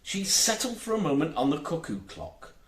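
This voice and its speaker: A man's voice: a little high, sing-song, moderate pace, echoey room. A man reading a children's storybook aloud as an audiobook narrator, expressively emphasizing his words. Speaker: audiobook reader.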